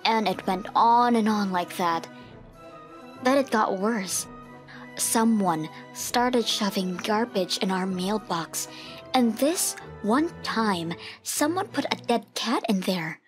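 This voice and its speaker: A woman playing an expectant mother who narrates her own past in a scripted comic dub, over music. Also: on the high side.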